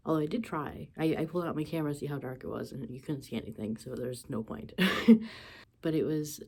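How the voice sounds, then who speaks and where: Stuffy young woman, deep mellow voice. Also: fast, creaky, husky. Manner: chatty, laughs often. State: sore throat, a little stuffy.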